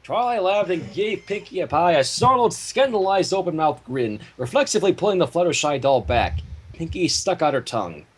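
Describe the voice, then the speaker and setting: A man with a husky voice hosting a livestream, narrating a fan story aloud with casual asides. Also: a little high, sing-song, moderate pace. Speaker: fan fiction reader, livestream host.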